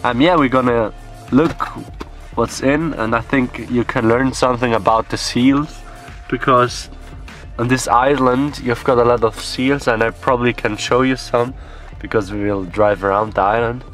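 A man's voice: medium pitch, fairly even intonation, normal speed, fairly bright tone, faint music behind. A young man chatting casually through a travel vlog and unsure how to say the local place names. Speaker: vlogger. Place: inside a car and a small guest room.

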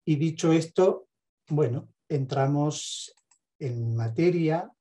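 A middle-aged man with an Argentine accent giving a reflective lecture, measured, with hesitant pauses between phrases. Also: a little high, mellow. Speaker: speaker, theologian and counsellor. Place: home study with bookshelves. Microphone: headset on a video call.

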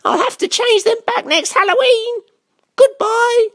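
A woman's voice: very high-pitched, unhurried, a bit bright, voice pushed up, full character voice. A woman voicing a cheerful storybook witch, theatrical and sing-song. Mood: cheery.

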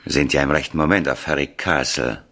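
An actor playing an eccentric, mocking professor of the occult in a 1970s radio play, speaking theatrically in clipped phrases with dramatic pauses. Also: male voice, a bass voice, bright, forceful tone, heavily acted. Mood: probing. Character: eccentric American parapsychology professor, ominous and mocking.

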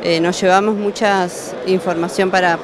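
A man's voice: sits high, little pitch movement, moderate pace. Man speaking over expo noise. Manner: plain, with "eh" fillers. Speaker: expo visitor. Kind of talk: trade fair interview. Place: indoor exhibition hall. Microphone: handheld interviewer microphone. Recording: news camera at noisy expo.

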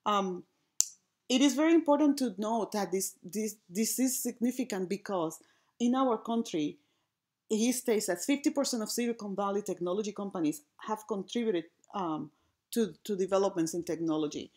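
A woman from gives a reflective presentation over slides, pausing often with ums and restarting her phrases. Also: mid-range voice, a little mellow. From Puerto Rico. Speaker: design lecturer.

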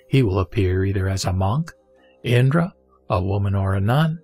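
A man reading a Buddhist scripture aloud in a measured, steady recitation. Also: lowish voice, no room sound. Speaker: scripture reader. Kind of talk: solemn scripture reading over music. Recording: soft background music.

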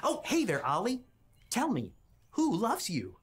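A woman playing a friendly mail carrier who tells children Bible stories in simple, emphatic, expressive phrases. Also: on the high side, measured pace, soft background music. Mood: cheerful. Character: friendly mailman storyteller, addressing children as friends.

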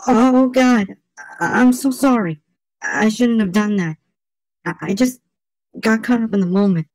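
A woman's voice: fairly high pitch, measured pace, a little mellow, faint music behind, low-quality recording. A woman voicing a lovesick, downcast cartoon schoolboy in an amateur comic dub, earnest and plaintive. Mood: apologetic.

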